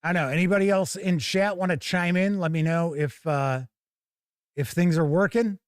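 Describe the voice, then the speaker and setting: A man's voice: high-pitched, moderate pace, clear, resonant tone, no echo, clean studio sound. A man hosting a tabletop gaming livestream, chatting casually and informally to viewers as he thinks aloud. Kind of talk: casual live unboxing chat to viewers.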